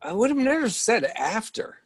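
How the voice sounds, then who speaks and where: A man reading an impatient, husky character. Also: extremely high pitch, big pitch swings, heavily acted. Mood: bickering. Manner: exasperated, quick to lose patience. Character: impatient man needing morning coffee. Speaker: reader in a readers theatre play. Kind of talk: staged play reading.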